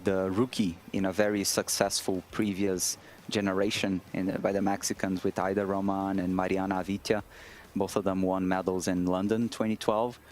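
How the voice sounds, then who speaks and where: A man's voice: low-pitched, a little mellow. A man speaking as a guest analyst on a sports broadcast, earnest and admiring, repeating phrases for emphasis. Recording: faint background noise.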